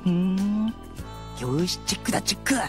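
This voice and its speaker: A woman's voice: medium pitch, expressive, normal speed, music in the background, close to an everyday voice. A woman voicing a chummy, girl-crazy teenage boy, brash and eager, in an audio drama. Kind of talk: scripted Japanese anime-style audio drama acting.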